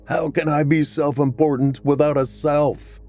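A man's voice: fairly high pitch, level tone, unhurried, mellow, faint music behind, voice pushed up. A man voicing a brooding, self-pitying vampire man three centuries old, melodramatic and anguished. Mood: bitter.

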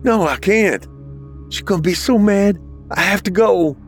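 A man's voice: sits high, measured pace, faint music behind, voice pushed up. A man voicing a frightened young boy in a horror story, whining, gasping and pleading. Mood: panicked, crying. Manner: whining, gasping, crying pleas.

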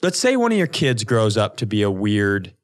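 A man's voice: on the low side, very animated, normal speed. A man co-hosting a podcast in casual comedic banter, swearing freely and sounding mock-indignant. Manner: crude, profane, mock-indignant. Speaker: podcast co-host.

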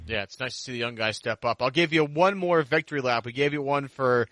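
A man's voice: mid-range voice, fairly quick, fairly bright tone, a little hiss or hum. A man hosting a casual sports podcast, talking in a rambling, conversational way.

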